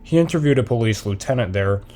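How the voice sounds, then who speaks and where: A man playing a serious TV newsroom anchor, reading scripted news in a formal, deliberate way. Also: mid-range voice, lively intonation, dark tone, slight background noise, natural voice.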